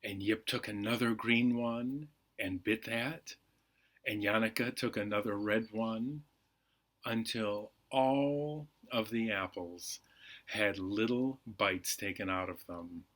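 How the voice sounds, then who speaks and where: A man hosting a storytime, reading bedtime stories to children with unhurried, deliberate phrasing. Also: on the low side, a bit bright. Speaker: library storytime host.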